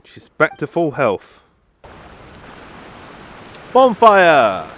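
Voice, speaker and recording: Very deep-voiced woman gamer, lively. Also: extremely slow, a little hiss or hum. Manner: wry, playful, reacts aloud to the game. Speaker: gaming video host. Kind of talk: casual live game commentary.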